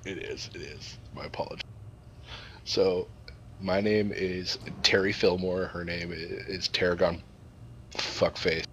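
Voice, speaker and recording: Low-voiced man telling crude rambling stories. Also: steady tone, a little slow, muffled recording, noisy background. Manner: profane, rambling, mock-serious. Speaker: storyteller, host. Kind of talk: improvised crude comic storytelling to a group.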